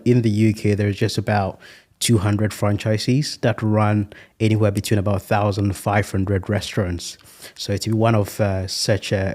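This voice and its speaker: A man's voice: fairly low pitch, a little mellow. A man in his thirties from Ghana, a guest on an interview podcast, talking in an earnest, reflective way with a few fillers. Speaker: guest, restaurant franchise owner.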